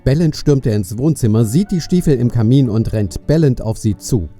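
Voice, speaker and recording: A man's voice: mid-range voice, expressive, moderate pace, dark tone, soft background music. A man narrating a scripted children's story as the storyteller of an audio play.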